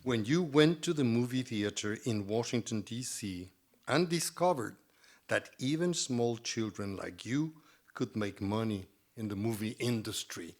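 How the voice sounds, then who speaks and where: Measured male interviewer, mid-range voice, reads questions from notes. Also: medium pitch, expressive. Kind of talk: live onstage interview. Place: stage before an audience.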